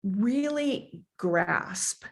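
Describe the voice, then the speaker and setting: An older woman, a podcast guest and foundation founder, telling stories emphatically in a booming voice and repeating phrases as she gathers her thoughts. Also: lively intonation, drawn-out words, creaky. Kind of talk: conversational storytelling.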